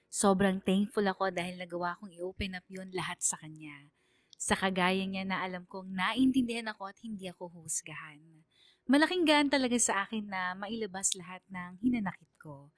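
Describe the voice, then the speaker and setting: A woman's voice: medium pitch, no room sound. A woman narrating a scripted first-person radio story in a confiding, heartfelt way.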